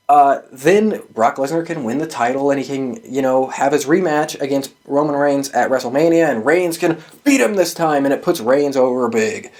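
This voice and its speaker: A man with a voice pitched a little above average, talking like an opinionated fan hosting his own show. He speaks casually and swears freely as he lays out his views. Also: darkish tone. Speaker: host of a wrestling predictions show.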